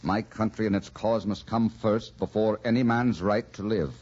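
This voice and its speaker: An actor playing an earnest, torn Irish delegate in old-time radio drama, grave and impassioned. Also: male voice, fairly low pitch, steady tone, moderate pace, a little mellow, husky. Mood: tormented, tender. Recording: dull, noisy old radio recording.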